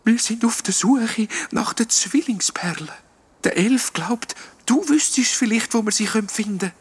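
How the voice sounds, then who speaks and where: A storyteller voicing a small, timid, friendly ghost in a breathy half-whisper. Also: mid-range voice, lively intonation, slow pace, voice pushed up, heavily acted. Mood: whispery worried.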